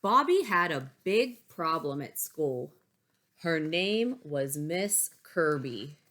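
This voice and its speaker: A woman teacher reading a picture book aloud to young pupils, expressive, with chatty asides. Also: low-pitched, slow pace. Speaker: elementary school teacher.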